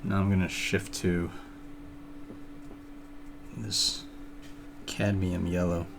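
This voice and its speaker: A man painting on a live stream, thinking aloud in a relaxed, casual way as he demonstrates and chats with viewers. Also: deep voice, slow pace, background noise. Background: music playing.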